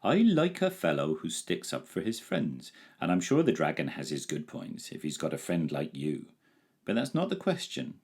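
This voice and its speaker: Man reading as a noble storybook knight, stately and warm-hearted. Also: extremely low pitch, huge pitch swings, normal speed, breathy edge, full character voice. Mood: earnest. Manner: stately, grand. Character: kindly, noble knight, grand and solemn.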